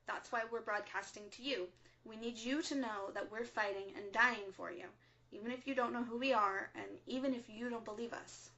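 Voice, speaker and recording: A woman playing a composed, earnest resistance radio broadcaster in a scripted audio drama, speaking in a measured, solemn way. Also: a little high, fairly even intonation, sharp tone, muffled recording. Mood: urgent, resolute. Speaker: radio show host.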